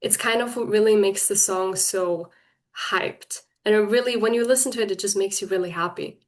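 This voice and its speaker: A woman's voice: mid-range voice, moderate pace. A young woman interviewing a music artist, enthusiastic and chatty like a fan.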